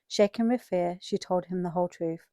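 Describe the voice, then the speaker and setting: Mellow low-voiced woman narrating scripture. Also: normal speed, dry recording. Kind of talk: scripted dramatized Bible narration.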